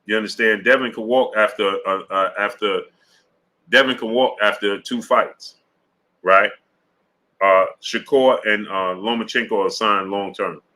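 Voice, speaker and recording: A man's voice: on the low side, steady tone, a little slow, sharp tone. A man hosting a live-stream boxing show, greeting viewers in the chat and talking through his views with many fillers and restarts, often checking in with "right?". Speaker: sports show host.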